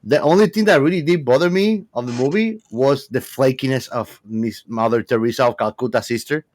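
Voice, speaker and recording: Male podcast co-host, casual and opinionated, halting wordy delivery, close dry recording. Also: medium pitch, darkish tone, no room sound. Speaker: podcast co-host discussing a film. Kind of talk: casual film discussion.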